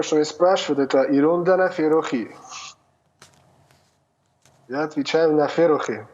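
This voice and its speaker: A man from North Ossetia with an Italian-tinged Russian accent, answering over a video call in a plain, relaxed, slightly halting way. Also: mid-range voice. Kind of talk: casual remote interview. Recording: dull lo-fi call audio.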